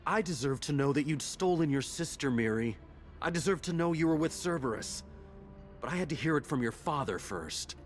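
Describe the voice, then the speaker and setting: This is a man playing a resentful, conflicted game character, a turncoat friend. His emphatic voice swings widely in pitch, with faint music behind it. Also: expressive, a little fast, natural voice. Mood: accusing. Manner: bitter, accusing, emphatic. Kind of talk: video game voice acting.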